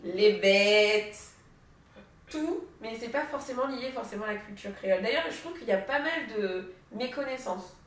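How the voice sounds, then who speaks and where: A woman's voice: fairly high pitch, lively intonation, normal speed. A young woman from French Guiana talking casually in a conversation, chatty, with frequent hesitations. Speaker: association member in discussion. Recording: echoey room, distant mic.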